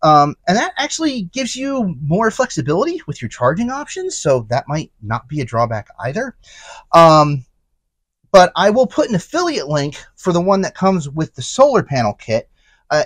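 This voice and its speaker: Animated man reviewing products, dry close sound. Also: fairly high pitch, moderate pace, no echo. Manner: emphatic, repeats key words for stress. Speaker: product reviewer, channel host.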